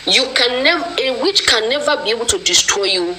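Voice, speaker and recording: A woman's voice: a little high, lively intonation, normal speed, bright, forceful tone, a little hiss or hum. A woman with a Nigerian accent speaking passionately and accusingly in a casual online discussion, leaning on proverbs. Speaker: discussion participant.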